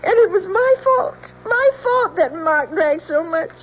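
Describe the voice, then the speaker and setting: An actress playing an anxious, pleading young bride-to-be, breathless and emotional, in old-time radio drama style. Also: female voice, very high-pitched, animated, measured pace. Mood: distraught. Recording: dull old radio recording, faint noise.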